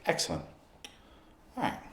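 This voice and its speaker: A man speaking in an ASMR roleplay, addressing the listener directly in short, unhurried phrases with pauses. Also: roomy sound. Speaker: ASMR performer playing a basketball recovery expert.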